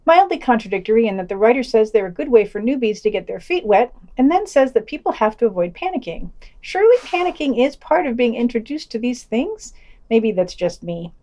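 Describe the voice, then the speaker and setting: A woman's voice: on the high side, big pitch swings, fairly quick, mellow, dull audio. A woman reading a scripted news digest and quotes for a podcast, with dry, slightly pedantic wry commentary.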